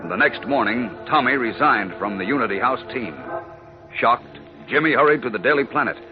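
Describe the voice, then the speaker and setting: A man's voice: mid-range voice, brisk. A man narrating a 1940s radio serial in a dramatic, declamatory style. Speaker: announcer and narrator. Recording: dull 1940s radio recording with music.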